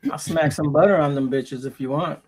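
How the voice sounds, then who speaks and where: A man's voice: on the high side, fairly even intonation, a little fast, a little mellow, breathy edge, poor audio. A man with a Minnesota accent hosting a live stream, joking around with crude banter. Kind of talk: casual chat.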